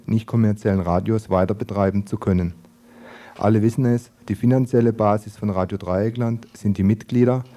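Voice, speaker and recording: Male community radio presenter, lowish pitch, soft dark tone, scripted news commentary delivery. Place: radio studio.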